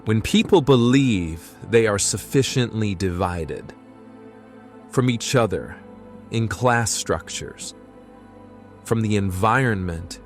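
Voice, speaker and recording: A man playing a calm, devout healer in an audio drama, speaking in a measured, solemn and earnest manner. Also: lowish voice, faint music behind. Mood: solemn, reflective. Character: Elouan, calm devout male healer, grave and philosophical.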